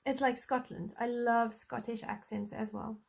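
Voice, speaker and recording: A woman's voice: fairly high pitch, steady tone, normal speed, gravelly. A woman in her thirties from South Africa, with a South African accent, chatting casually and thinking aloud while she colors on a live stream. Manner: chatty, friendly, thinks aloud with many ums. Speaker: coloring channel host.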